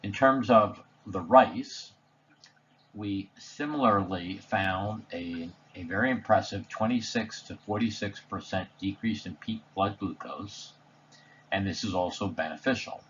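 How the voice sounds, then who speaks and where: A man reading a scripted slide presentation voice-over in a measured, formal, technical manner. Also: fairly low pitch, fairly bright tone, highs cut off, a little hiss or hum. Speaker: presenter narrating study results.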